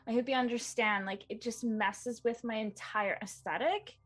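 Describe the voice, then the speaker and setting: A woman's voice: fairly high pitch, steady tone, moderate pace, soft background music. Hesitant woman player. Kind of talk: casual in-character play.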